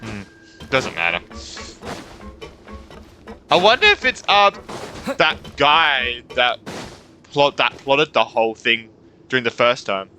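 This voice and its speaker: A man's voice: medium pitch, big pitch swings, slow pace, pauses often, bright, forceful tone. A man giving casual gameplay commentary as a streamer, slangy and repeating himself. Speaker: game streamer. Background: game music.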